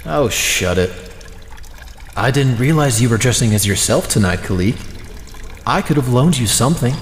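A man's voice: medium pitch, lively intonation, moderate pace, a little hiss or hum, natural voice. Echoey, bossy sarcastic male villain played by an actor. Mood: irritated, mocking. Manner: sardonic, commanding. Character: bossy, sarcastic male villain leader, vain about classy tastes. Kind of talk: audio drama acting. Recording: roomy, echoing hall.

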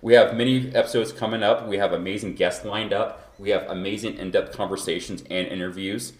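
A man's voice: on the low side, fairly even intonation, brisk. A man from Kansas hosting a podcast in loose, rambling casual chat, feeling a bit unwell. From Kansas, USA. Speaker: podcast host. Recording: echoey room recording.

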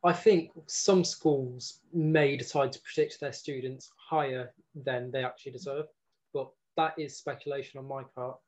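A man's voice: mid-range voice, steady tone, moderate pace, darkish tone, poor audio. A student in his late teens answering interview questions carefully, qualifying his points.

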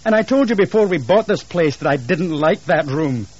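A man's voice: fairly high pitch, animated, normal speed, darkish tone, breathy edge, muffled recording, voice pushed up. A man voicing a shaken, insistent husband in a ghost story, earnest and nervy. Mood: insistent, defensive.

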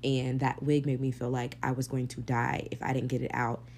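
A young woman hosting her own podcast, talking candidly and casually, swearing freely, with a deep, gravelly voice. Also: little pitch movement, moderate pace, darkish tone, slight background noise. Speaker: podcast host. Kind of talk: casual solo podcast monologue.

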